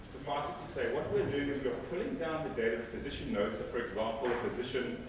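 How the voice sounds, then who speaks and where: A man's voice: medium pitch, fast talker, noisy background. A man presenting at a technical conference with a handheld mic, speaking conversationally and often repeating words as he corrects himself. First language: Afrikaans. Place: large hall with seated audience. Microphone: handheld mic, filmed from far back. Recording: echoey hall from far off with audience.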